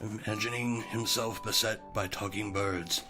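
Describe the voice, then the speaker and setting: A man's voice: fairly low pitch, fairly even intonation, unhurried, natural voice. A man playing a kindly, old-fashioned wizard mentor in an audio drama, speaking formally and theatrically. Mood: wry, genial. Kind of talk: storybook audio drama acting. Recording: music playing behind the voice.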